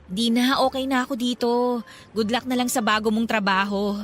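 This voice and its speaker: Woman acting a bright, high-voiced young flower vendor. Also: fairly even intonation, moderate pace, soft background music, close to an everyday voice. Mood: curious, chatty. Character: earnest, loyal young female flower vendor. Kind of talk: radio drama acting.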